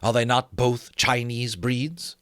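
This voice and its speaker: A man's voice: medium pitch, level tone, takes it slowly, fairly bright tone, no room sound, pitched above the performer's own voice. A courteous young dragon, voiced by a man reading a fantasy novel. He is inquisitive and eager to oblige. The voice is close and dry, with no room around it. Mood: curious. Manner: polite, curious, obliging. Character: Temeraire, polite, curious, obliging young male dragon. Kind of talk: dramatic audiobook character reading.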